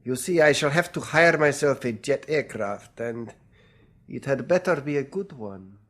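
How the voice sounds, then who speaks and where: An actor playing a shady, self-assured dealer, slyly polite and amused in a classic radio drama. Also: male voice, mid-range voice, expressive, moderate pace, fairly bright tone. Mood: confident bargaining. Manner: smooth, bargaining, slyly polite.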